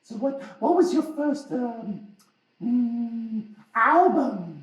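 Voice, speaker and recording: A woman voicing an excitable, girlish goth princess puppet who hosts an interview in a gushing, theatrical way. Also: on the high side, recorded from a distance. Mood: playful, chatty. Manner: effusive, theatrical, drawn-out delivery. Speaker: puppet show host. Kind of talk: playful interview in character. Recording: echoey room.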